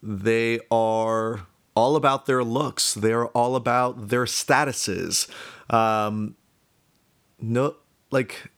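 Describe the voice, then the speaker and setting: A man's voice: medium pitch, fairly even intonation, drawn-out words, a bit bright, clear, resonant tone. Young man, rambling and hesitant. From Miami, United States. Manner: rambling, hesitant, thinking aloud. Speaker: speaker telling about own life. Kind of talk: casual personal monologue.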